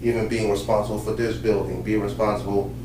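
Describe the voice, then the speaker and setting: Low-voiced New man, roomy and distant. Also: quick pace. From Haiti. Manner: relaxed storytelling with many fillers. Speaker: podcast host and pastor. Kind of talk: casual chat. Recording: roomy sound from a distant mic with faint noise.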